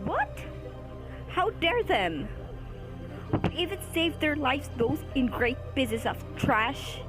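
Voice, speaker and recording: A woman's voice: sits high, very animated, slow pace, full character voice. A woman playing a haughty, indignant teenage girl in a radio drama, scornful and theatrical. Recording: voice over clear background music.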